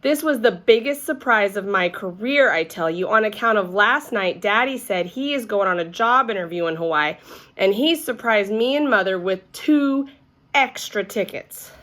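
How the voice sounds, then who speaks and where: Woman acting an excitable, boastful little schoolgirl. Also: medium pitch, normal speed, lightly acted. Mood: excited, boastful. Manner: emphatic, childlike phrasing. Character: excitable, boastful little girl, chatty first-grader. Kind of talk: children's storybook read-aloud.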